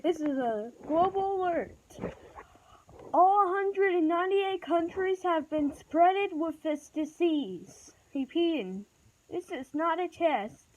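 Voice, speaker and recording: A woman reading a homemade mock emergency broadcast announcement in a halting, stumbling delivery. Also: extremely high pitch, expressive, a little mellow. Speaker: vlogger acting as emergency alert announcer. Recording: dull, muffled home recording with noise.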